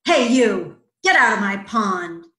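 A woman voicing a grumpy, bossy bullfrog in a children's storybook, shouting possessively and emphatically. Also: fairly high pitch, expressive, extremely slow, roomy sound, full character voice. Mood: bossy, angry. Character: grumpy bossy male bullfrog guarding his lilies.

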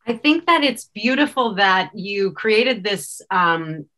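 A woman's voice: medium pitch, animated, takes it slowly, bright, forceful tone. Thoughtful woman in conversation. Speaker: podcast director, interview guest. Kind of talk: reflective conversational interview. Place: small indoor room, curtained. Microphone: video call.

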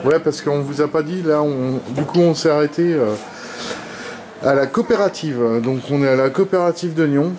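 A man's voice: fairly high pitch, normal speed, noisy background. A man in his forties, giving offhand, slangy comments while he drives and later browses a shop. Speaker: vlog co-host. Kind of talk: casual family travel vlog. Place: driving a car. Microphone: camera held by passenger.

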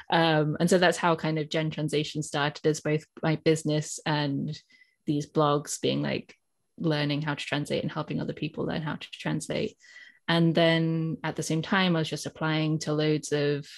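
A woman's voice: low voice, gravelly. A British woman in her thirties, a translator on a video call panel, talking casually and laughing at herself. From Britain. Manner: chatty, self-deprecating, laughs often. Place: home office lined with bookshelves. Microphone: headphones with a desk mic, video call.

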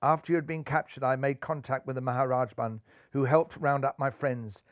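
A man voicing a calm, loyal female elephant in a storybook, quiet and matter-of-fact. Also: mid-range voice, a little fast, no room sound, clean studio sound, close to an everyday voice. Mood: calm reporting.

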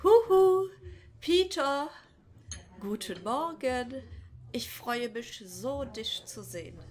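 A woman's voice: sits high, animated, takes it slowly, darkish tone. A woman playing a gushing, self-righteous activist admirer in a comedy audio parody, cooing and affectedly earnest. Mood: cooing, delighted. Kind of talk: parody audio drama acting.

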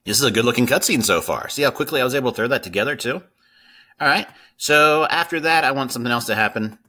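A man's voice: medium pitch, lively intonation, fast talker, sharp tone. A middle-aged man hosting a casual screen-share coding tutorial. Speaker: tutorial host.

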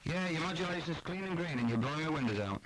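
A man's voice: on the high side, lively intonation, fast talker, a bit bright. A man playing a friendly CB radio operator in a scripted demonstration, heard through a radio filter. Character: friendly male CB radio operator answering calls. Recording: crackly CB radio with faint noise.

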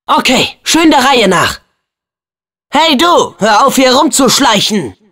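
A brave teenage boy hero from a video game, earnest and eager. The voice is youthful and very animated, swinging widely in pitch. The words come at an unhurried pace, each delivered with open, excitable feeling. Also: full character voice. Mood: eager, friendly. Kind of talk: video game character dubbing.

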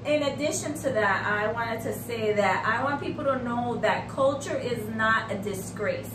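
Woman host, middle-aged. High-pitched, unhurried and emphatic, recorded at a distance from the mic. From Puerto Rico. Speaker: art show host, artist. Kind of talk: conversational talk show. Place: indoor room hung with paintings. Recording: echoey room, distant mic, noisy background.

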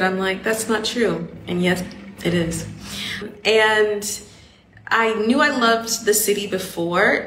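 A woman's voice: mid-range voice, fairly bright tone, a little hiss or hum. A woman in her thirties from the United States, chatting casually as a podcast host, laughing often and filling her talk with "like" asides. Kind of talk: casual chat. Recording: echoey street recording with faint ambience.

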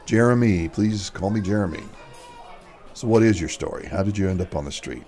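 A man's voice: deep voice, expressive, fairly quick, dark tone, faint music behind. An actor playing a troubled, outwardly kind male vigilante, speaking earnestly in a radio mystery drama.

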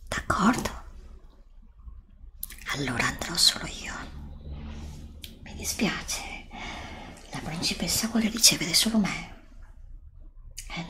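Woman acting out an awestruck heroine in hushed whispers. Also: low voice. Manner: breathy, hushed, wonder-struck exclamations. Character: chosen young heroine, awestruck, polite, anxious traveler. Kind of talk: whispered ASMR roleplay.

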